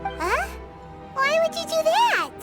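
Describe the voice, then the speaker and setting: A woman voicing a tiny, childlike floating fairy companion with a squeaky, excitable voice in a video game. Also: takes it slowly, loud music behind the voice, heavily acted. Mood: puzzled. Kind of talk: cartoonish game voice acting.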